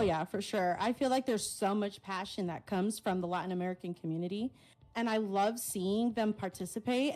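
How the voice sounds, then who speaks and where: A woman's voice: mid-range voice, warm tone, poor audio. An enthusiastic woman of Puerto Rican and Salvadoran background, co-casting an esports stream in a gushing way. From Puerto Rican and Salvadoran family. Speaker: bilingual esports co-caster. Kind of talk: casual esports stream commentary.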